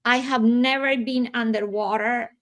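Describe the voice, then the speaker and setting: Slow-speaking woman, reflective. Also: fairly high pitch, steady tone. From Colombia. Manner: slow, reflective, with soft laughs and pauses. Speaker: guest. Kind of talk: personal storytelling in an interview.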